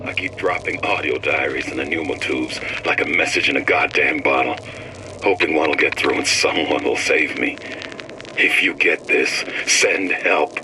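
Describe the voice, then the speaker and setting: A man's voice: rumbling low, moderate pace, noisy background. An actor playing a bitter, abandoned male worker pleading and ranting in short bursts for a game audio diary. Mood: desperate, bitter. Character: desperate, bitter trapped male worker, foul-mouthed and abandoned.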